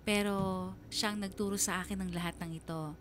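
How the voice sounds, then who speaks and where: A woman's voice: mid-range voice, fairly even intonation, normal speed, slight background noise, close to an everyday voice. An actress playing a grown daughter narrating her story on Filipino radio, sincere, reflective and admiring. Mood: earnest, reflective. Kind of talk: first-person narration to a radio host.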